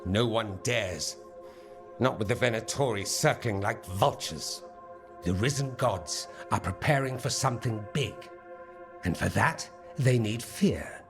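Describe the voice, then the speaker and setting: An actor playing an urbane, sardonic rebel mage-politician in a video game, eloquent and bold, with a theatrical delivery. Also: male voice, lowish voice, unhurried. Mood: grave. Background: background music.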